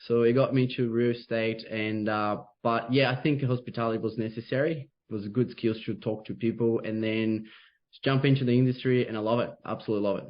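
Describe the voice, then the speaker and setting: A man's voice: medium pitch, fairly even intonation, fairly quick. A young man from Brazil with a Brazilian Portuguese accent, a real estate agent speaking in an interview over a video call, upbeat and loose, with lots of fillers. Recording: dull lo-fi video call audio.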